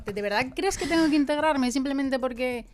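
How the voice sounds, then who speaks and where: A woman's voice: sits high, fairly quick, a little hiss or hum. A young woman with a Madrid accent, speaking as a guest in a casual discussion, emphatic and full of restarts. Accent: Madrid Spanish. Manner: lively, emphatic, with frequent restarts.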